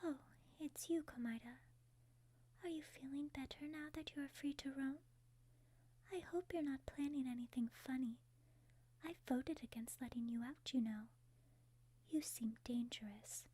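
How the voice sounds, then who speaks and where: A woman playing a refined young princess who eagerly shares morbid interests in a soft, breathy half-whisper for an ASMR roleplay. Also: sits high, normal speed, pauses often. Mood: wary.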